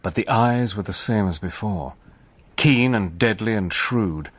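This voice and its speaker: Resolute army major narrating, brisk and soldierly, low voice. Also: male voice. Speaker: narrator and lead. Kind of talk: first-person dramatic narration.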